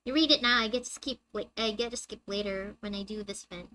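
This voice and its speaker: A young woman with a high-pitched, squeaky voice, chatting with her viewers on a live game stream and reading the story text aloud. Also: fast, bright, forceful tone. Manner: chatty, reads dialogue aloud and answers chat.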